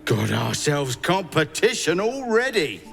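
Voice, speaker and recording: Blustering little male gang boss for a game: high, forceful, exasperated swings over faint music. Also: huge pitch swings, a little slow, vocal fry, exaggerated voice. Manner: loud, exasperated, bossy. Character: blustering, irritable short male treasure-hunter gang boss. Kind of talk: video game acting.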